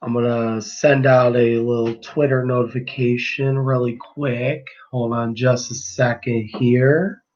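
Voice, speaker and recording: A man's voice: mid-range voice, little pitch movement, a little slow, a little mellow, muffled recording. A man hosting a casual live-stream sports podcast, his voice a little worn after he nearly lost it, talking in an easygoing, rambling way with many ums and restarts. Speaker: podcast host.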